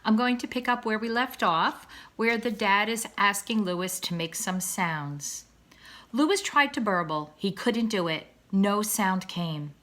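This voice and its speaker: A woman reading a storybook aloud to children as a teacher would, expressive and deliberate in her narration. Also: medium pitch.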